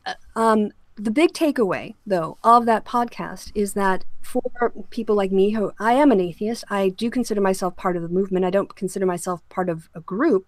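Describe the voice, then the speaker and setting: A woman's voice: mid-range voice, no echo. A woman hosting a casual podcast discussion, speaking articulately and explaining her points with asides. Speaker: show host; political scientist.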